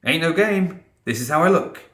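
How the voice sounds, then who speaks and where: A man's voice: fairly high pitch, animated, moderate pace, fairly bright tone, roomy sound, voice pushed up. A man voicing a bratty, swaggering teenage boy with brash, slangy talk in a fantasy audiobook. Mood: cocky. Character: cocky, bratty teenage boy with a cricket bat.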